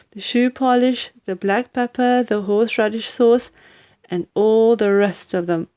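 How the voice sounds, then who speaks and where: A woman reads a children's story as an audiobook narrator. Her pace is very unhurried and her pitch sits in the middle, with deliberate, dramatic phrasing. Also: a little mellow, clear, resonant tone. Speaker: audiobook reader. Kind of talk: expressive scripted storybook narration.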